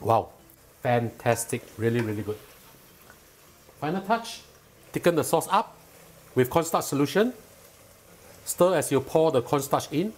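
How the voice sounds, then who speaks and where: A middle-aged man hosting a cooking show, explaining each step calmly and patiently in a home kitchen. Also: medium pitch, measured pace, long pauses. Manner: calm, patient and instructive, enthusiastic when tasting.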